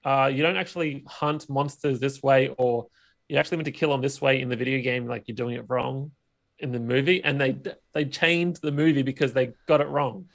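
A man's voice: medium pitch, fairly even intonation, a little fast, gravelly. A man co-hosting a podcast, discussing films casually in an informal, questioning way. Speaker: podcast co-host.

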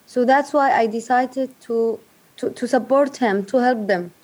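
A woman from Yemen speaks English in a remote interview. Her voice sits fairly high, and she pauses and restarts as she searches for words. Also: darkish tone. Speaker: guest, refugee advocate.

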